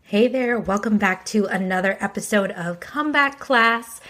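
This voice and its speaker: A woman's voice: mid-range voice, moderate pace, a bit bright, faint music behind. A woman hosting her own podcast, talking in a chatty, encouraging way while she has a cold. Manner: chatty, encouraging, speaks straight to the listener. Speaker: podcast host. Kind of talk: casual solo podcast talk.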